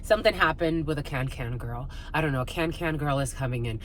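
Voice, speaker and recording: A woman's voice: sits low, a little fast. A woman hosting an intuitive spiritual reading, talking casually and off the cuff, rambling and repeating her phrases with "like" thrown in often.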